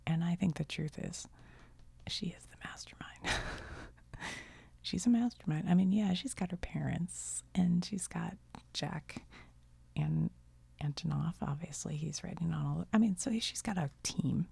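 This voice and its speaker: A middle-aged woman who chats gently in a soft ASMR whisper, often trailing off. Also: lowish voice, unhurried, vocal fry, husky, no echo. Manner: gentle, chatty, laughs softly, trails off. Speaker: video creator.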